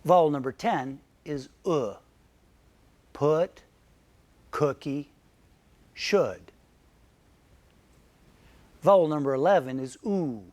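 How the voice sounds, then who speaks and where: Slow, careful Michigan-accented male narrator. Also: on the high side, darkish tone. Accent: Midwestern American (Michigan). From Michigan, United States. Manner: slow, careful, clearly enunciated word examples. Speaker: narrator and English pronunciation teacher. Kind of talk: scripted educational narration.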